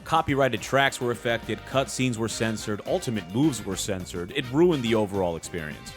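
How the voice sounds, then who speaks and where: A man with a hoarse, sick voice giving a blunt, excitable review of video games. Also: on the low side, normal speed, faint music behind. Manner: excitable, blunt, with playful outbursts. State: hoarse from illness. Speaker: gaming video creator. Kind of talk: opinionated tier list review.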